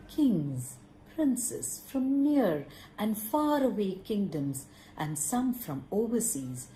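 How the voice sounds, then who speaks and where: A woman narrating a scripted epic tale as a storyteller, in measured, emphatic phrases. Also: low-pitched, dark tone, slight background noise, rough recording.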